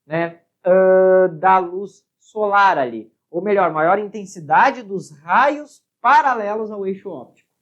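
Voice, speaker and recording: A woman's voice: medium pitch, takes it slowly, muffled recording. A woman with a Brazilian accent explaining a worked physics exercise step by step as a teacher, often adding short check questions. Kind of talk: step-by-step lesson at a board.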